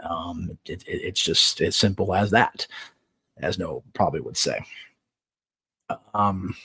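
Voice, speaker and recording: A man's voice: low-pitched, slow, vocal fry, husky. A man hosting his own live online show, chatting casually and keeping his voice down, with many fillers as he rambles. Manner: talks softly to keep quiet, meandering with many fillers. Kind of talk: casual live-stream chat. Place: indoors, away from home on a trip.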